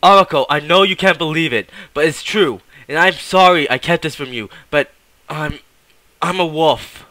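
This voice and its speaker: A man's voice: on the high side, normal speed, sharp tone, no echo. A man playing a troubled, apologetic young person in a subdued, hesitant audition read. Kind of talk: voice acting audition.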